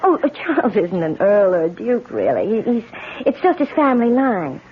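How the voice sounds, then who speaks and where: An actress with an American accent playing a young, impulsive, gushing newlywed in a breathless, halting way in a radio drama. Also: female voice, mid-range voice, big pitch swings, close to an everyday voice. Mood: chatty, hurried. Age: young adult. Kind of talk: 1970s radio drama acting. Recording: tinny, noisy 1970s radio recording.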